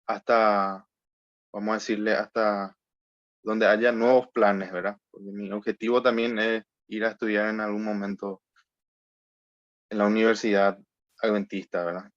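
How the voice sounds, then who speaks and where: Paraguayan guest, plain unhurried delivery, lowish voice, dry muffled mic. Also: male voice, little pitch movement, no echo. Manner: plain, unhurried, says "¿verdad?" often. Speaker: guest reading scripture. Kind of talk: casual introduction and scripture reading.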